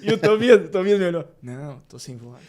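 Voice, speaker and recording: Sex: man. Pace: fast. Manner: laughs, acts out quotes. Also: fairly high pitch, animated, a little mellow. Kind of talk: casual storytelling chat.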